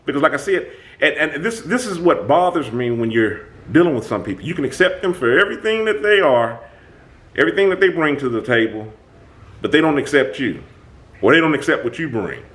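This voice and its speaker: A man hosting his own channel, talking through a personal conflict in an emphatic, animated way. His voice is booming, and he often restarts his sentences. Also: brisk. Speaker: channel host. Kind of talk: reflective self-talk monologue.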